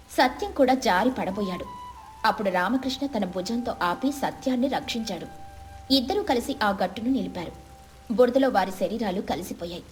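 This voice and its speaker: A woman narrating a scripted moral story. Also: mid-range voice.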